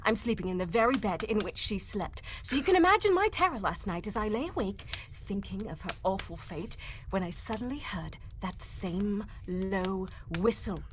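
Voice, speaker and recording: A woman's voice: medium pitch, lively intonation, normal speed, natural voice. A woman playing a frightened young client in a live 1940s-style radio mystery, speaking in a hesitant, melodramatic way. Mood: fearful. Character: Miss Stoner, frightened young woman client seeking help. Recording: faint background noise, live performance.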